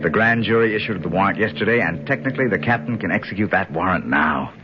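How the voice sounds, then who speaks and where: An actor playing a tough, wry, protective investigator in a 1970s radio drama. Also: male voice, low-pitched, animated, quick pace, background noise. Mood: easy, reassuring. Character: tough, wry, protective male investigator narrating his adventure. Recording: dull old radio broadcast, music behind.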